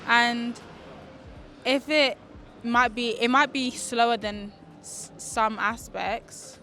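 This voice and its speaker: A woman in her twenties giving casual opinions as an interviewee in hesitant, halting phrases. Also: a little high, fairly even intonation, bright, forceful tone, a little hiss or hum. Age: 20s. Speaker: street interviewee.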